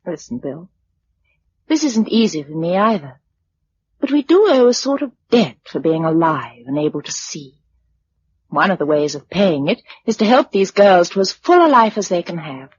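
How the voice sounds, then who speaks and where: A woman's voice: on the low side, big pitch swings, moderate pace, lo-fi sound, a little hiss or hum. An actress playing a resolute, loving, practical young woman in a radio drama. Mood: earnest, insistent.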